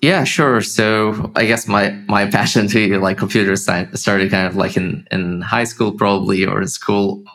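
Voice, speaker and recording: A man from Israel with a slight Russian-tinged accent, a startup founder talking casually as a guest, halting and full of fillers. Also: fairly low pitch, fairly even intonation, a bit bright. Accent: Russian-tinged Israeli English. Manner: halting, many fillers and restarts. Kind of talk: casual interview talk.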